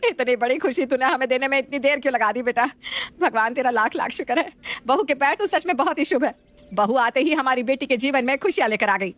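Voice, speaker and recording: A woman's voice: high voice, a little fast, fairly bright tone. A woman voicing a doting, emotional middle-aged mother in a family story, fussing and emphatic. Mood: overjoyed.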